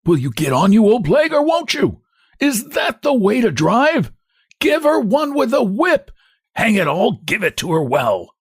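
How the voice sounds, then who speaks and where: A man voicing an abusive young hunchbacked man with a cracked, shrill voice. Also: sing-song, unhurried, breathy edge, no room sound, studio quality, exaggerated voice. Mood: jeering, impatient. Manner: shrill, bullying, jeering.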